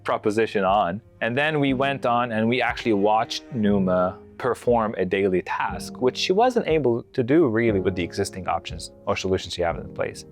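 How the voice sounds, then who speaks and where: A man's voice: on the low side, expressive, normal speed, few pauses. A man, a home care sales manager, talking conversationally about clients and repeating phrases for emphasis. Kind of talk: company testimonial interview. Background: faint guitar music.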